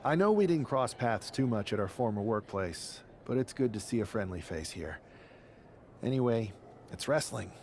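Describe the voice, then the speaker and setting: Sardonic wrestler with lively pitch. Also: male voice, normal speed, slight background noise. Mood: stoic. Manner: dry, sarcastic. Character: sardonic, stubborn, vengeful male rookie pro wrestler. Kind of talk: game cut-scene dialogue.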